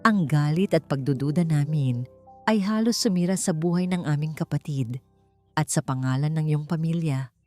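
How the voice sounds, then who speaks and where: Female narrator as eldest brother, solemn, remorseful, low-pitched, story drama, soft music behind. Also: moderate pace, close to an everyday voice. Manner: heavy, solemn.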